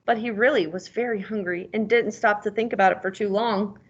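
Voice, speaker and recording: A woman's voice: mid-range voice, fairly even intonation, fairly quick. A woman reading a picture book aloud as a teacher, stopping conversationally to ask questions and explain words, on a dull lo-fi recording.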